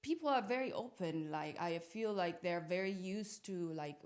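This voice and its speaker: Young woman, from Italy, slightly low pitch, podcast guest, casual personal reflection, informal, searching for words. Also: lively intonation, normal speed, darkish tone. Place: podcast studio.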